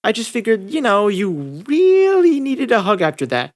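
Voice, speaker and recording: A reader voicing a bubbly, excitable, hyper teenage cartoon girl in a fan story. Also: medium pitch, normal speed, pitched above the performer's own voice, lightly acted. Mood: cheerful. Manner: breezy, excitable, cheerful.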